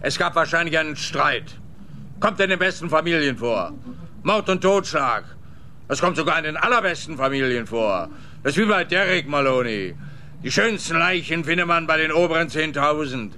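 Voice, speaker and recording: A grumpy older policeman in a radio crime comedy. His voice is sharp and forceful and sits slightly high. He is sarcastic and self-satisfied, over faint background noise. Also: lively intonation, normal speed. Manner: sarcastic, blustering. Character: grumpy, sarcastic, smug male police officer.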